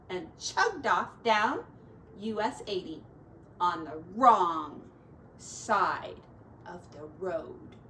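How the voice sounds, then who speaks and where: Female teacher for children's read-aloud: high, expressive, unhurried, folksy, recorded a little far from the mic with faint noise. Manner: expressive, drawn-out words, folksy asides to young listeners. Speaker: teacher reading a storybook aloud. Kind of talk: animated children's storybook read-aloud with chatty asides.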